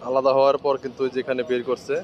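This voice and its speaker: A man's voice: medium pitch, monotone, racing, lo-fi sound. A man with a Bangladeshi accent giving on-location vlog commentary, explaining what he sees in a repetitive, marvelling way. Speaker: video blogger. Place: outdoors at a riverside work site. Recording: noisy outdoor recording with vehicle ambience.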